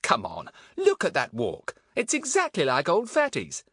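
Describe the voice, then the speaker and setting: A man voicing an eager, confident schoolboy with a bossy, excited manner in a storybook. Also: a little high, moderate pace, breathy edge, no room sound, clean studio sound, pitched above the performer's own voice, full character voice. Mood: scheming.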